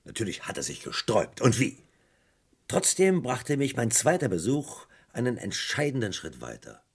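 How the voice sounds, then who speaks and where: An actor playing a suspicious, methodical police detective in a German crime radio play, speaking deliberately and with pointed emphasis. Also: male voice, medium pitch, wildly swooping pitch, breathy edge, exaggerated voice. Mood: matter-of-fact. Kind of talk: German crime radio play acting, mid to late 20th century.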